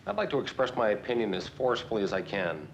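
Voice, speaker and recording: An actor playing an earnest elected politician who speaks formally and oratorically in an interview. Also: male voice, sing-song, quick pace, vocal fry. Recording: dull lo-fi film sound, noisy rumble.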